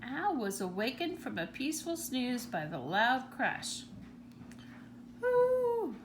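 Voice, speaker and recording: A woman with a fairly high voice narrates a children's storybook in an animated way. Clear background noise sits behind her reading. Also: a little slow. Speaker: storybook reader.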